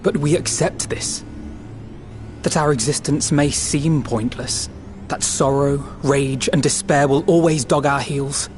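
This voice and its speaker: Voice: mid-range voice, steady tone, measured pace, a little mellow, soft background music, lightly acted. An actor voicing a steadfast, earnest and defiant young champion in a dramatic video game. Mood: solemn defiance.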